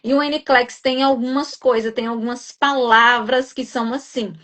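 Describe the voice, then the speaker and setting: A woman's voice: fairly high pitch, steady tone, moderate pace, fairly bright tone. A young adult woman from Minas Gerais with a Minas accent, explaining and reassuring in a live stream chat, often adding tag questions. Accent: Minas Gerais Portuguese. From Minas Gerais, Brazil. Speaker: guest nurse educator.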